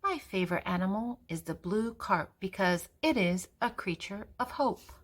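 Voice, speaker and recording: An older woman reading a picture book aloud to children, expressive and deliberate, with emphasis on key words. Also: mid-range voice. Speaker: children's story reader and channel host.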